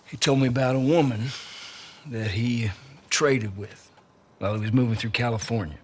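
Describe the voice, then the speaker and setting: An actor playing a bitter, weary, rugged middle-aged man in a game, with a halting, folksy drawl. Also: mid-range voice, darkish tone, slightly rough, lightly acted. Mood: subdued, earnest. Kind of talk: naturalistic video game cutscene acting.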